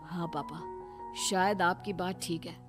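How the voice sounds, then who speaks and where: A woman's voice: fairly low pitch, fairly even intonation, unhurried, natural voice. A woman voicing an earnest, plain-spoken young married son in a cartoon moral story. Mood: puzzled, earnest. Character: young married son, puzzled and conspiring with father. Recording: music bed behind voice.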